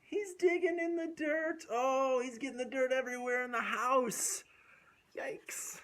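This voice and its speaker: A man reading a picture book aloud to young children in an animated, drawn-out way, asking questions and dropping into whispers. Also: very high voice. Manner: drawn-out, asks the listeners questions, drops to whispers. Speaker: children's storytime host.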